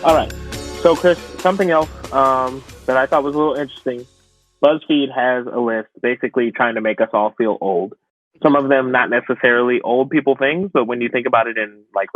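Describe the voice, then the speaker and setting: A man's voice: medium pitch, brisk, dry recording, soft background music, low-quality recording. A young man who hosts a podcast, chatting casually about pop culture in a conversational way with wry asides. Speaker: podcast host.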